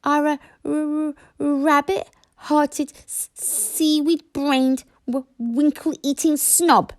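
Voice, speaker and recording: A woman voicing a small, grumpy young male dragon who stutters in a high, whiny voice. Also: little pitch movement, very slow. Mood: jeering.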